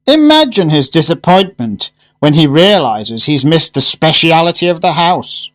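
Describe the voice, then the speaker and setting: An actor playing a solemn, eccentric, solitary male gourmet in a radio drama, speaking in formal, deliberate, florid phrases. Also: a little high, sing-song, a bit bright. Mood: excited.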